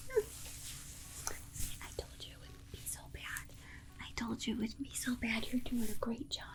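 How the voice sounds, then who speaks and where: An alien scientist played by a woman whispers through an ASMR roleplay, coaxing and reassuring the listener. The voice sits fairly high, with some creak at its edges. The manner is polite and soothing, yet breezily condescending toward humans. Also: big pitch swings, normal speed, rough recording. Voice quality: breathy whisper. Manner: soothing, coaxing, hesitant asides.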